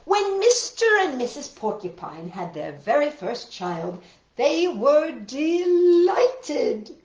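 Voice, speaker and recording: A woman hosting a children's storytime, reading picture books aloud with expressive, exaggerated delivery. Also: sits high, takes it slowly, highs cut off. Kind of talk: animated picture-book read-aloud and sing-along for young children. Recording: roomy echo with guitar music.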